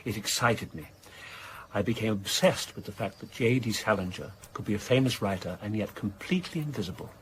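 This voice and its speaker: A man playing a reclusive male crime novelist in a radio drama, measured and dryly wry, with cultured, literary turns of phrase. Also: lowish voice, natural voice, poor audio. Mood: wry, reflective. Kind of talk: naturalistic radio drama dialogue. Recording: faint background noise.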